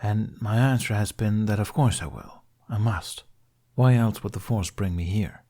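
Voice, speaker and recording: A man voicing a wise, gentle older Jedi master in a story, measured and counselling. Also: lowish voice. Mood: gentle, reflective. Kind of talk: storybook character voice.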